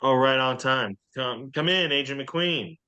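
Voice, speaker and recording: A man's voice: medium pitch, measured pace, sharp tone, smooth, lo-fi sound. A man acting a smug, crude secret agency boss in a comedy table read.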